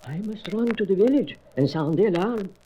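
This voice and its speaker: A man voicing a frightened, excitable aged fisherman in a comic-book audio drama. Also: high-pitched, moderate pace, lo-fi sound, voice pushed up. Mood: alarmed. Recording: faintly noisy old record.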